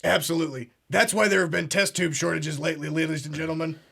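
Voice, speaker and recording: A man doing a pompous conspiracy talk-show host with a gravelly, husky voice pitched a little high. He drives every line with self-important certainty and leans on "ladies and gentlemen" like a catchphrase. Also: fairly even intonation, fast talker, full character voice. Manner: pompous, keeps saying "absolutely" and "ladies and gentlemen". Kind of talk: comedic parody show.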